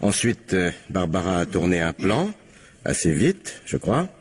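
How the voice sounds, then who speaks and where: An actor playing an aloof, sardonic film assistant under questioning in a French radio crime drama, guarded and dryly disdainful. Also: male voice, sits low, sing-song, a little slow, slightly rough, heavily acted. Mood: hesitant, guarded. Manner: hesitant recall, dry disdain.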